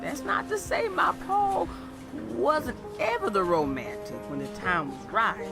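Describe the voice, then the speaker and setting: Woman playing elderly wife, fond, measured, lively pitch, gravelly creak, audio drama monologue. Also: music in the background. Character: loving, contented elderly wife looking back.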